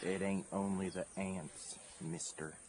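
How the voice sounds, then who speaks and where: A man's voice: low-pitched, flat delivery, takes it slowly, darkish tone, a little hiss or hum. A male actor in an audio drama voicing a folksy, slyly menacing carrion-eating desert creature that teases in ungrammatical speech. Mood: sly teasing. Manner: folksy drawling teasing, ungrammatical.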